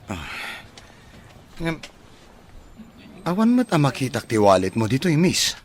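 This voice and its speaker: A man's voice: mid-range voice, big pitch swings, unhurried. A man playing an amiable, smitten bachelor businessman in an Ilocano radio drama, polite and chuckling. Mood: apologetic, polite.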